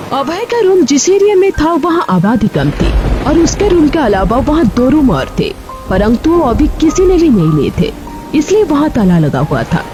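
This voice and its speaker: A woman reading a scripted story as its narrator, with music behind her. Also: high voice.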